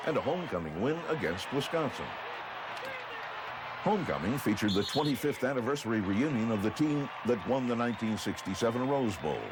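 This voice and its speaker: Low-voiced American male newsreel narrator, lively inflection. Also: background noise. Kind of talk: scripted narration. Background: music bed.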